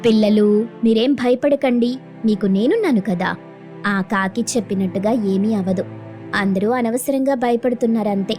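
A woman voicing a stubborn, confident mother sparrow in a cartoon story, speaking in a firm, expressive way. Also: fairly high pitch, normal speed, faint music behind, lightly acted. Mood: reassuring.

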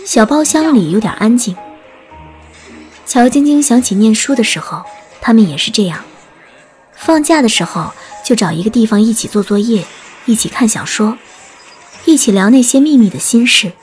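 High-voiced woman narrating an audiobook. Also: lively intonation, normal speed, long pauses, a little mellow, faint music behind. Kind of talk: scripted story narration.

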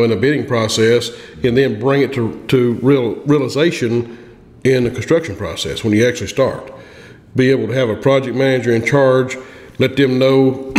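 A man's voice: medium pitch, darkish tone. A man, a construction veteran giving practical advice on a podcast in a small room, plain-spoken with frequent restarts. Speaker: construction industry veteran guest. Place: small room with a table, indoors. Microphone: studio mic on a boom arm. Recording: echoey room with long reverb.

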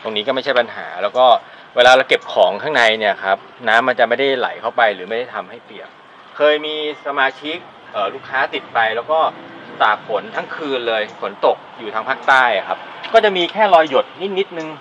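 Male co-presenter, thirties, mid-pitched casual explainer, noisy outdoor recording. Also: animated, brisk, fairly bright tone, lo-fi sound. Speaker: co-presenter and product demonstrator. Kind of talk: casual product demonstration commentary. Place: outdoors in a driveway. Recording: clear outdoor background noise.

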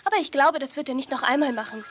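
A woman's voice: high-pitched, racing, a bit bright, soft background music. A woman playing a caring, sensible young dog owner in a children's audio play, speaking earnestly. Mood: firm. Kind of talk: 1980s German children's audio play acting. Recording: faint noise, old cassette audio play.

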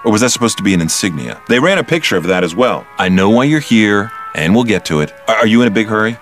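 An actor playing a smug, condescending criminal mastermind in an audio drama, with a suave, theatrical delivery that stretches out words. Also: male voice, fairly low pitch, expressive, quick pace, a bit bright, soft background music. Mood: smug, taunting.

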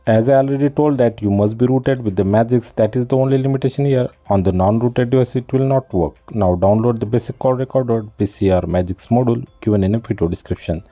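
Dark-toned man narrating a tutorial. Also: medium pitch, fast talker, few pauses, faint music behind. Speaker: tech channel host.

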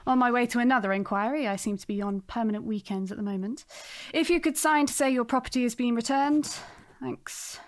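An actress plays a policewoman in an audio drama, a detective sergeant. Her voice is fairly high. She is courteous and businesslike, delivering information with assured confidence. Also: fairly quick, few pauses, lightly acted. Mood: brisk, confident. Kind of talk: naturalistic audio drama acting.

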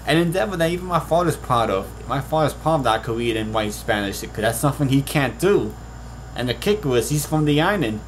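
A man's voice: a little high, slight background noise, poor audio. Earnest Puerto Rican man, rambling. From Puerto Rico. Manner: rambling, many restarts, earnest. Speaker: author. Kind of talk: casual vlog monologue. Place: small bedroom. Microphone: webcam at desk.

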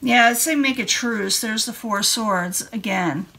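A woman's voice: a little high, a little slow, pushed and bright. A woman giving a casual tarot card reading in an informal, rambling way. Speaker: tarot reader. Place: indoor room at a table.

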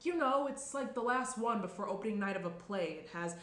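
A woman's voice: medium pitch, lively intonation, a little fast, a bit bright, natural voice. A young woman playing a guarded, stressed woman in a screenplay table read, speaking hesitantly. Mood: uneasy. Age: young adult. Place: small indoor room around a table. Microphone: shared tabletop microphone. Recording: roomy tabletop pickup, faint noise.

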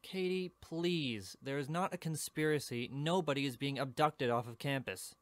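A man's voice: medium pitch, normal speed, no room sound, close to an everyday voice. A young man playing a sceptical, exasperated university student in a fan audio drama, dismissive and weary. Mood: placating.

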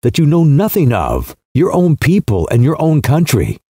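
A man's voice: a little high, huge pitch swings, moderate pace, dark tone, breathy edge, no room sound, pitched above the performer's own voice, exaggerated voice. A man voicing a frank, talkative young woman who asks blunt, pressing questions.

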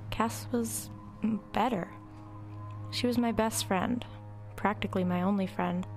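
A woman's voice: mid-range voice, fairly even intonation, unhurried, darkish tone, faint music behind, lightly acted. A woman playing a wry, reflective narrator recalling her troubled girlhood in a confiding first-person dramatic narration.

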